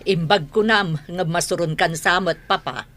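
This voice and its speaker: A woman's voice: lowish voice, normal speed, fairly bright tone, close to an everyday voice. A woman playing a defiant grown daughter in a radio drama, arguing defensively with an Ilocano accent. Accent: Ilocano Filipino. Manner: defensive, argumentative. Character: defiant grown daughter fond of mahjong gambling.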